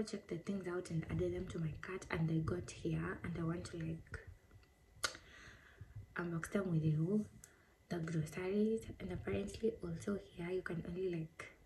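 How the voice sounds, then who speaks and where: A woman vlogger, croaky from just waking up, talking in a soft half-whisper with lots of "like" fillers in a casual voice-over from her home kitchen. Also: fairly low pitch, a little hiss or hum, rough recording. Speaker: lifestyle vlogger.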